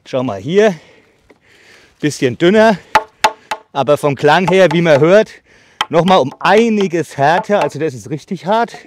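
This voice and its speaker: A man's voice: a little high, animated, noisy background. Chatty man, slightly winded, sawing outdoors. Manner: chatty, filler-heavy, pauses while sawing. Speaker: bushcraft channel host. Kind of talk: casual product demo. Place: outdoors in a leafy meadow by trees. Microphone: far camera on a tripod.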